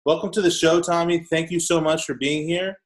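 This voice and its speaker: A man's voice: fairly high pitch, fairly even intonation, brisk. A man hosting a tech podcast, introducing a guest and asking questions in a casual, upbeat way with frequent uhs and ums. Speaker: podcast host. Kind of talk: podcast interview.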